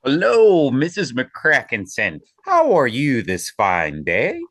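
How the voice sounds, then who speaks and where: Man acting a jovial, very animated gentleman. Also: medium pitch, takes it slowly, dry recording, studio quality, heavily acted. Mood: genial. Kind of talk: audio play acting.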